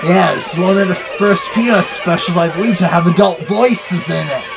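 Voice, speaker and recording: A man's voice: sits high, normal speed, vocal fry, crowd noise. A man voicing a chatty cartoon wolf, giving casual running commentary as a reviewer. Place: small bedroom, indoors. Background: record music and dialogue playing.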